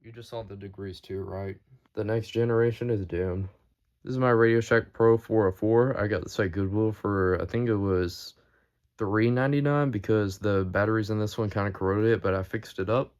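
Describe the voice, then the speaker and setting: A man's voice: lowish voice, fairly even intonation, moderate pace, darkish tone. A man giving a casual, off-the-cuff show-and-tell narration as the host of a collection video. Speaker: host showing a weather radio collection.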